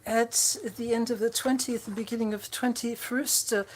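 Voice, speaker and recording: Woman, conference interpreter, live interpretation, halting, fillers, fairly high voice. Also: fairly even intonation, slight background noise. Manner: halting, many fillers and restarts. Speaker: simultaneous interpreter.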